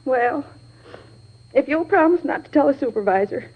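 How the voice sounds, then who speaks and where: A woman's voice: high voice, lively intonation, moderate pace. An actress in an old radio drama playing a chatty, shaken cleaning woman who rambles in folksy, ungrammatical speech. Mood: confiding, nervous. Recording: muffled vintage radio recording.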